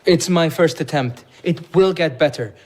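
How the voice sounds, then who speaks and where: A man's voice: a little high, moderate pace, a little mellow, close to an everyday voice. An actor playing a polite, earnest, bookish young man, a traveller in a radio drama. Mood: eager.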